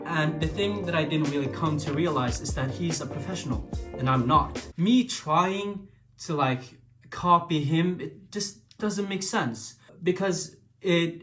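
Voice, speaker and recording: A man's voice: fairly high pitch, unhurried, fairly bright tone. A man from Sweden speaking English with a Swedish accent, musing in a casual vlog with frequent restarts and filler words. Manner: hesitant, many restarts and filler likes. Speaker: vlogger. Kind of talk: reflective casual monologue. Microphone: built-in camera mic after external mic died. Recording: talk over clear background music.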